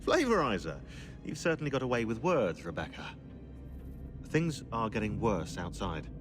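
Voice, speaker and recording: A wry, learned researcher in a video game. His fairly low voice moves animatedly in pitch as he lectures with dry sarcasm, over clear background music. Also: very animated, moderate pace. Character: dry, sardonic, bookish male researcher. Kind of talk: game cutscene dialogue.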